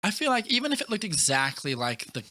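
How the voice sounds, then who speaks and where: A man's voice: sits high, sing-song, fast talker, pushed and bright, dry recording. A man hosting a casual movie chat podcast, blunt and opinionated, swearing freely as he talks. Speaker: podcast host.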